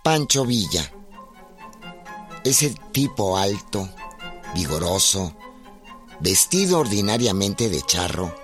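An actor playing a grave, measured narrator of revolutionary history in a scripted radio drama. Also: male voice, fairly low pitch, big pitch swings, faint music behind.

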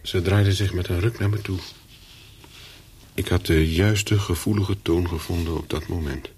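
An actor playing a calculating, persuasive young spy in a radio play, with terse, controlled speech and a husky voice. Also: male voice, deep voice, steady tone, measured pace, a little mellow, slight background noise. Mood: reflective narration. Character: calculating young male spy, persuasive, looking back as narrator. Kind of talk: radio play dialogue and first-person narration.

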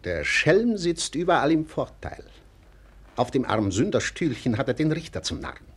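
An actor playing a cynical, sharp-tongued clerk in a mocking, theatrical manner, in the style of 1940s German radio drama. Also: male voice, a little high, big pitch swings, normal speed, husky. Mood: sly, lecturing. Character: cynical, sharp-tongued male clerk stirring up timid townsfolk.

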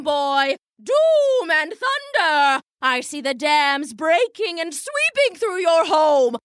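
A woman voicing a pompous, theatrical female fortune-teller who intones grandly in a loud stage bellow. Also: extremely high pitch, dry recording, studio quality, pitched above the performer's own voice, heavily acted.

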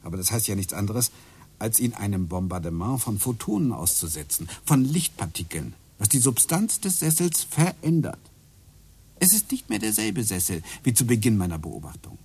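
A man's voice: on the low side, very animated, normal speed, warm tone, slightly rough, full character voice. An actor playing a brooding man obsessed with physics, musing and explaining as he talks, in a radio play. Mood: thoughtful. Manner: musing, explaining, drifts into whispers. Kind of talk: radio drama acting.

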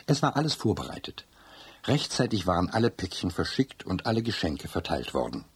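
A man narrating a children's radio story as a calm storyteller with a deep, husky voice. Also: brisk, no room sound, full character voice. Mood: calm, storytelling. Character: calm adult male storyteller of a children's tale.

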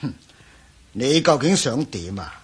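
An actor playing an indignant professor in a radio drama, huffy and exclaiming, with a Hong Kong accent. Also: male voice, medium pitch, very animated, unhurried, husky, full character voice. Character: indignant male professor, held at gunpoint, protesting innocence. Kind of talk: Cantonese radio drama acting.

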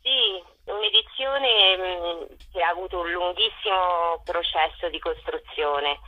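A woman interviewed as a radio guest over the telephone, explaining spontaneously and searching for words. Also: fairly low pitch. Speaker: festival director, radio guest. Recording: dull, band-limited telephone line.

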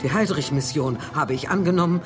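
A woman's voice: low voice, fairly quick, music in the background, natural voice. An actress in a radio drama playing a wary young woman agent who questions and defies. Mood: defiant.

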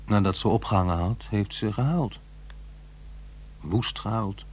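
Troubled middle-aged father, low-voiced. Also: darkish tone, husky. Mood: brooding, confiding. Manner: halting, earnest, self-questioning. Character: troubled, reproachful divorced middle-aged father, groping for contact. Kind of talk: Dutch radio play acting.

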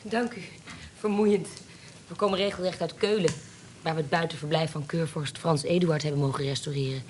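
An actress playing a cunning, self-assured female swindler in a Dutch radio play, poised and smooth-talking. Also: low-pitched, fairly even intonation, a little fast, a little mellow, a little hiss or hum, lightly acted. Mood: poised, courteous. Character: cunning, self-assured female con artist posing as decorator.

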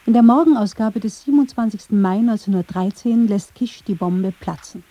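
A woman's voice: medium pitch, fairly quick, mellow, no echo, low-quality recording. A woman reading scripted narration for a radio documentary.

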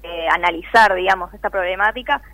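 A woman's voice: fairly low pitch, brisk, pushed and bright, dry recording. A woman with a Rioplatense Argentine accent giving earnest, detailed, conversational answers in an interview. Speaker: interviewee, layoff-tracking activist. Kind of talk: spontaneous explanatory radio interview.

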